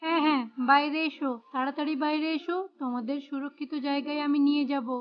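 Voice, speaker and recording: A woman voicing a brisk, helpful female pigeon who rescues others in a cartoon story. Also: high voice, level tone, dull audio, lightly acted. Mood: urgent. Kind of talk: cartoon moral story acting.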